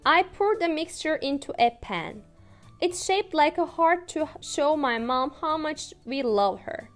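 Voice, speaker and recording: Slow, sing-song Turkish-accented teacher woman. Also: sits high, expressive, soft background music. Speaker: English teacher. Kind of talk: reading a picture book aloud to toddlers. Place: small room indoors.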